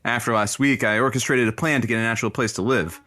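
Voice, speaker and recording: A man's voice: mid-range voice, fairly even intonation, fast talker, bright, forceful tone, lightly acted. A man playing a goofy radio producer and sidekick who banters playfully in a scripted audio comedy. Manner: wisecracking, playful.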